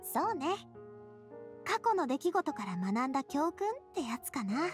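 A woman playing a spirited, kindhearted young lady in an anime game, speaking in a casual, feminine, expressive way. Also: high voice, a little slow. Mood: earnest. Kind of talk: Japanese anime game voice acting. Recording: music bed behind the voice.

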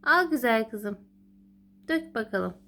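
A woman's voice: a little high, expressive, slow pace, a bit bright. A woman talking as a mother to her small child, narrating educational play in an encouraging, sing-song way. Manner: encouraging, sing-song child-directed talk with repeated praise. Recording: clearly noisy home recording with clicks.

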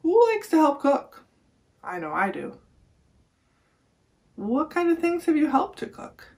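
A woman's voice: high-pitched, huge pitch swings, unhurried, vocal fry, darkish tone. A young American woman reading a picture book aloud to children. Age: young adult. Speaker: nutrition educator reading to children.

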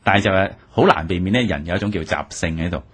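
A male co-host with a Hong Kong Cantonese accent chats through his commentary in a low, slightly rough voice. He talks fast and informally, reflecting aloud as he goes. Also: fairly bright tone. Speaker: radio programme co-host. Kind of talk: conversational commentary.

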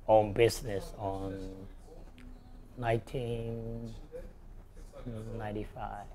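A man's voice: mid-range voice, a little mellow. Man with a Hong Kong Cantonese accent. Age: middle-aged. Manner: halting, non-native English phrasing. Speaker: restaurant owner. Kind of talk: casual documentary interview. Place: indoors in a restaurant booth. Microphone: lapel.

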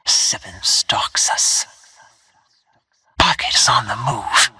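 Stealthy game assassin lady, super low breathy whisper, cool and clipped. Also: lively intonation, slow pace, heavily acted. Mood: hushed, watchful. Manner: hushed, terse, controlled. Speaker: video game character voice. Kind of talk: short tactical game callouts, whispered.

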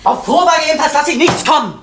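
A man's voice: extremely high pitch, lively intonation, fast talker, a bit bright, full character voice. An actor playing a stubborn, conscientious young man in an East German radio drama, speaking with emphatic defiance. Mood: defiant. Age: young adult. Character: stubborn, conscientious young postal worker and son. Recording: roomy, noisy old radio drama recording.

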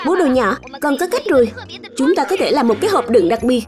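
A woman's voice: high-pitched, big pitch swings, fairly quick, over music. A woman voicing an eager, curious little boy in a dubbed children's cartoon, with a childlike, exclamatory manner. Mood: excited.

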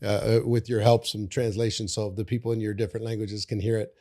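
A man's voice: lowish voice, little pitch movement, quick pace. Rambling older male podcast host. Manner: rambling, repeats words, trails off quietly. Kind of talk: casual interview chat. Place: studio with bookshelves. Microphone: studio mic on boom arm.

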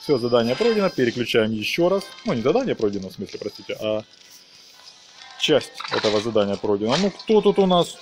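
Male gaming host, average pitch, informal and playful running commentary, music clearly playing behind. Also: big pitch swings, brisk. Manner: playful, chatty, talks to the game characters. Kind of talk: casual game walkthrough commentary.

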